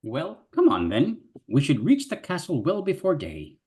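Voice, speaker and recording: A man's voice: huge pitch swings, normal speed, darkish tone. A man doing a gallant old-fashioned fox knight. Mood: brisk. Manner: archaic, chivalrous speech with big pitch leaps. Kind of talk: live dramatic reading.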